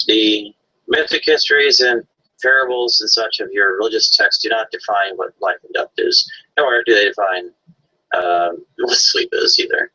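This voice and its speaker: A man's voice: lowish voice, moderate pace, bright, forceful tone. A man debating in an online hangout, lecturing with many fillers. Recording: dull lo-fi stream audio.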